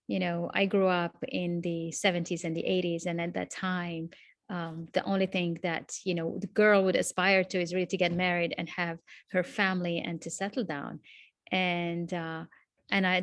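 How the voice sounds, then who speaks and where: Woman in her fifties, low voice. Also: dry recording. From Morocco. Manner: reflective, measured, with frequent restarts. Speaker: guest. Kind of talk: interview answers.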